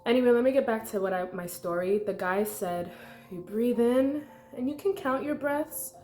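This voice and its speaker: A woman's voice: fairly high pitch, normal speed, darkish tone. Congested young woman rambling in an echoey room. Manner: rambling and chatty, full of likes and ums. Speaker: meditation vlogger. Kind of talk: casual unscripted guided meditation talk. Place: her apartment. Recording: echoey room with faint music.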